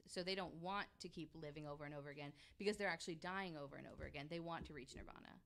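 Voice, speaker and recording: A woman's voice: low-pitched, a bit bright, slight background noise. A woman in casual podcast conversation, explaining things in a chatty, good-humored way. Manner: chatty, explanatory, laughs easily.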